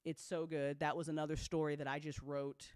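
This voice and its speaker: A woman's voice: low-pitched, level tone, a little fast, a little mellow, clean tone, dry recording, clean studio sound. A woman hosting a casual, chatty recap for her community, effusive and freely sweary, often repeating phrases for emphasis. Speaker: host, audio drama creator.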